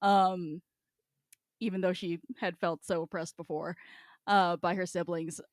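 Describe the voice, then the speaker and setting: A woman's voice: fairly low pitch, fairly even intonation, measured pace, no room sound, studio quality. A woman co-hosting a podcast, talking casually and animatedly, swearing freely. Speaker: podcast co-host. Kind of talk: casual chat, book discussion.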